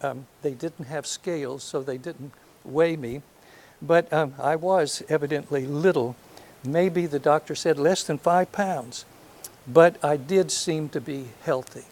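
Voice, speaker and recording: An older man from North Alabama with a soft voice, giving a reflective lecture to an audience, hesitant and full of ums, with gentle self-deprecating humor. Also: a little high, a little slow. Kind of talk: last lecture, reminiscence. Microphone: handheld or podium microphone.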